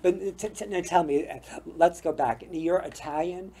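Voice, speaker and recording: A man's voice: high-pitched, normal speed, warm tone. An older man hosting a studio interview show, chatty and easygoing, laughing often and tripping over his words. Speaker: talk show host. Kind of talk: casual interview chat. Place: small TV studio set with bookshelves.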